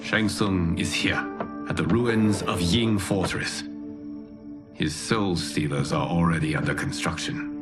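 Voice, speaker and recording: A man playing a grave, benevolent protector god in a video game, speaking in a measured, formal, commanding way. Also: low voice. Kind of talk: solemn cinematic game dialogue. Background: cinematic music.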